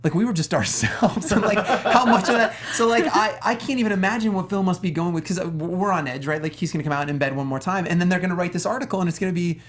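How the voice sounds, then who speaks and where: A man's voice: high-pitched, fast talker, few pauses, fairly bright tone. A man on a discussion panel, talking casually about films in an earnest, rambling, conversational way. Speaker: talk show panelist.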